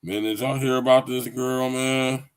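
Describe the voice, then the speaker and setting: A man's voice: medium pitch, level tone, measured pace, clear, resonant tone, rough recording. A young man hosting a podcast, reacting to clips with incredulous, slangy asides and laughter. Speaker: podcast host. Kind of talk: reaction commentary.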